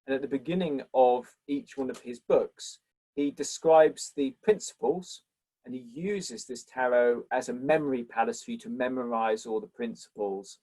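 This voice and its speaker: A man's voice: mid-range voice, steady tone, normal speed, poor audio. Man answering questions after a lecture. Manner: thoughtful, warm and appreciative toward questioners. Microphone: video call.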